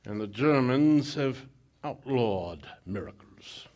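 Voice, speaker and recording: A man's voice: mid-range voice, lively intonation, slow, darkish tone. Male actor as courteous underworld boss, scheming, oily, hesitant ums, very varied intonation, vintage radio drama. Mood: smooth, courteous. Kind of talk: 1940s-style radio theater acting.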